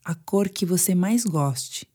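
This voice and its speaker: A woman with a Brazilian Portuguese accent leads a guided meditation for sleep. Her voice is low and soft-toned, with a dark, relaxed color. She speaks very slowly and soothingly, leaving pauses between short phrases. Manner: slow, soothing, hushed delivery with pauses.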